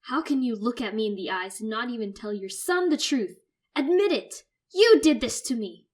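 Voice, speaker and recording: A woman's voice: a little high, lively intonation, normal speed, pitched above the performer's own voice. A woman voicing a distraught teenager who whines, shrieks and sobs in anger. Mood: shouting. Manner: whiny, shrieking, sobbing outbursts. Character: distraught teenager, furious and tearful.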